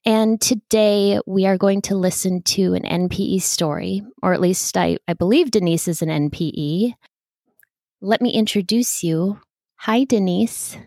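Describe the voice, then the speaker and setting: A woman's voice: medium pitch, unhurried, creaky, dark tone, dry recording, studio quality. A woman hosting a podcast, speaking personally to listeners and putting questions to a guest. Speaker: podcast host. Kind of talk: personal podcast introduction and interviewing.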